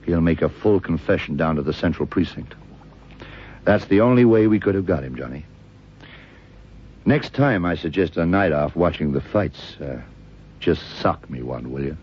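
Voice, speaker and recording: Deep, husky hard-boiled detective. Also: male voice, animated, normal speed, pauses often, full character voice. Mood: dry, satisfied. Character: tough, shrewd male detective exposing a killer. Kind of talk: radio crime drama acting. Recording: dull, lo-fi old radio recording.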